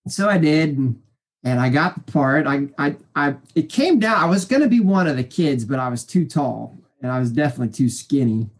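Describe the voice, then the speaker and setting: High-voiced chatty man telling stories. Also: lively intonation, normal speed. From Kansas City area, US. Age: 40s. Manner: chatty, rambling with fillers and restarts. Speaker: guest. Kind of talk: casual interview storytelling.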